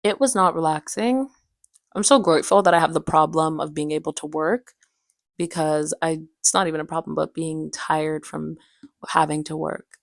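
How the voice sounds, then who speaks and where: A young woman telling personal stories in a casual vlog style, with an animated, slangy, playfully dramatic way of talking. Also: deep voice, normal speed, gravelly, no echo, studio quality. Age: young adult. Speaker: vlogger and aspiring actress. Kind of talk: casual chatty vlog storytelling.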